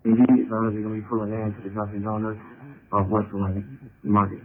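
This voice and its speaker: A man's voice: lowish voice, flat delivery, a little fast. A male police officer in the field giving informal updates over a police radio transmission. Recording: tinny police radio with faint noise.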